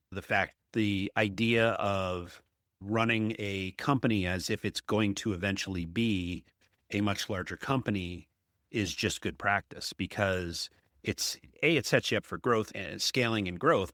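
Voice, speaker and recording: A man's voice: fairly low pitch, fairly bright tone, dry recording, studio quality. A man hosting a conversational business interview, talking in an emphatic, anecdotal way and often checking in with "right?". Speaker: podcast host, apartment investor.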